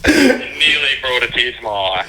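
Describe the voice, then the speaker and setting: A man's voice: mid-range voice, huge pitch swings, normal speed, very noisy. An Australian man chatting casually as a phone-in guest, laconic, matey and heavily sweary. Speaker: phone-in guest calling from prison. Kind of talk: casual banter on a phone call. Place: phone call from a prison. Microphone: telephone.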